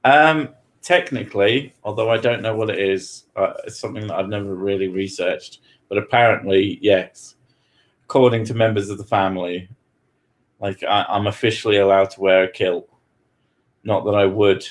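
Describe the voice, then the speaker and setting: A man's voice: lowish voice, steady tone, normal speed. A man from Britain chatting casually as host, joking, swearing freely and laughing often. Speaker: podcast host answering questions. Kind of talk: casual chat.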